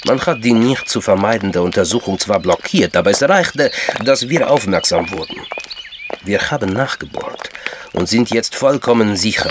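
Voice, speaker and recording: Calm, knowing intelligence man from Georgia, played by a man, lowish voice, formal explainer, radio drama, noticeable background noise. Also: natural voice. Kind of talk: German crime radio drama dialogue.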